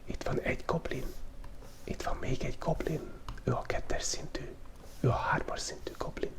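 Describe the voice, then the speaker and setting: A man hosting a relaxed ASMR drawing video, whispering in a loose, playful way and thinking aloud as he sketches, close to the microphone. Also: mid-range voice. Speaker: channel host. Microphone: close studio microphone picking up pen tablet.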